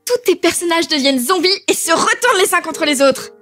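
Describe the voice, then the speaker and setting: A woman's voice: very high-pitched, expressive, fairly quick, fairly bright tone, soft background music. A woman playing a sly, smug little girl goddess in an audio drama, taunting and childlike.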